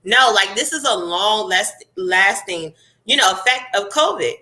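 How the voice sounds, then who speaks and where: A young woman hosts a casual live chat, her voice bright and clear. She sounds congested and talks in a chatty, animated way, laughing easily. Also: mid-range voice, a little slow. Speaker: host.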